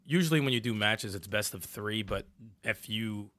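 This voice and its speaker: A man co-hosting a casual gaming podcast, telling stories in an animated, profane way. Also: fairly low pitch, moderate pace, dry recording. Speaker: podcast co-host.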